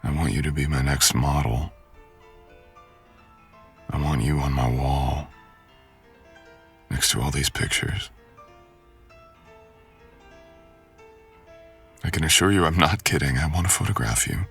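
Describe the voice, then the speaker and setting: Man voicing a very deep, gravelly seductive stalker. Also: faint music behind, heavily acted. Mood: possessive, intent. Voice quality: gravelly, breathy.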